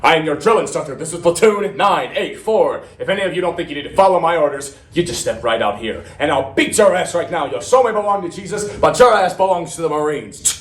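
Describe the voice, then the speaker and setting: A man's voice: fairly high pitch, lively intonation, fairly quick, few pauses, pitched above the performer's own voice, close to an everyday voice. A man playing an aggressive, foul-mouthed Marine drill instructor, barking domineering commands in a dramatic monologue. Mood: aggressive, commanding. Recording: roomy, distant mic, faint noise.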